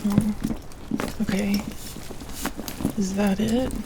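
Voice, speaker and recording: A woman playing an anxious, reluctant young leech girl in an audio roleplay, hesitant and often dropping into a hushed, breathy whisper. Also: mid-range voice, level tone, very slow, heavy background noise. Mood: uneasy, strained.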